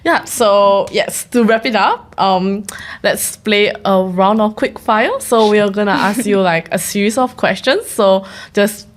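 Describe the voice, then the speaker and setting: A woman's voice: mid-range voice, unhurried, hardly any pauses. A woman with a Singaporean accent co-hosting a casual podcast, agreeable and full of "yeah"s. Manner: agreeable, lots of backchannel "yeah". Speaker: podcast co-host.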